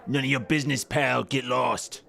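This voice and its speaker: An actor playing an irritable, nagging, confrontational mascot in a comedy audio drama. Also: male voice, medium pitch, steady tone, normal speed, gravelly, bright, forceful tone. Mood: fed up. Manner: griping, confrontational.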